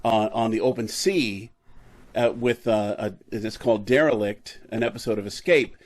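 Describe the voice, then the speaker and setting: A middle-aged man chatting casually as an interview guest about his creative work, enthusiastic but halting in his delivery. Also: lowish voice. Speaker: guest audio drama creator.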